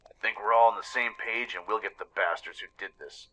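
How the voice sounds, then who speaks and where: A man voicing a large, gruff male griffin gunslinger in a radio play, wry and blunt. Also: fairly low pitch, steady tone, a little fast, sharp tone, rough recording. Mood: grim resolve.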